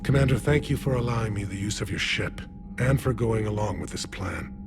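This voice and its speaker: A man voicing a grave, courteous alien military leader in a video game, measured and formal. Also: on the low side, over music, lightly acted. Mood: grave, polite.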